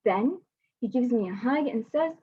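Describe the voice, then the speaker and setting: A knowing older brother explains things to his little sibling in a storybook, voiced by a young woman reading. The tone is light and a bit breathy, heard through dull, lo-fi video call audio. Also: on the high side, animated, moderate pace. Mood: matter-of-fact.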